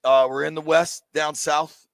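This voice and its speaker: A man's voice: fairly high pitch, level tone, measured pace, creaky, dry recording, clean studio sound. A man hosting a live sports radio show, joking and teasing while running through bracket picks. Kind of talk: casual sports radio banter. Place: sports bar, live broadcast.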